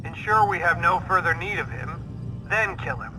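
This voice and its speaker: Male actor as commanding, pragmatic corporate villain. High register, terse orders, ruthless, set back from the mic. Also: normal speed, far from the mic, noisy background, lightly acted. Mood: cold, ruthless. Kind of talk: video game cutscene acting.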